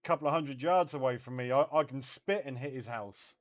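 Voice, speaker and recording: A man's voice: medium pitch, a little fast. A man chatting casually as a CB radio operator.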